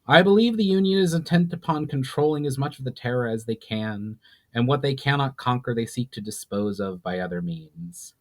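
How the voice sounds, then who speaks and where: A man's voice: medium pitch, lively intonation, normal speed, close to an everyday voice. A man voicing a courteous, earnest elf envoy with formal wording in a fantasy story. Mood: earnest warning.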